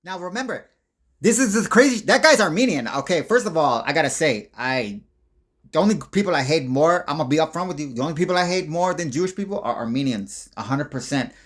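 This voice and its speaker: Guy ranting over clips, swears a lot, rambles, voice a bit high. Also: animated, quick pace, a bit bright. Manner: rambling, profane and aggressive. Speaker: commentary host. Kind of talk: rambling video commentary.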